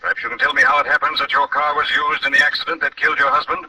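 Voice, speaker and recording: An actor playing a mysterious, mocking phantom avenger in an old radio mystery, theatrical and taunting. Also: male voice, high voice, big pitch swings, racing, vocal fry, bright, forceful tone, a little hiss or hum, exaggerated voice. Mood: accusing, stern. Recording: vintage radio recording, slightly distant.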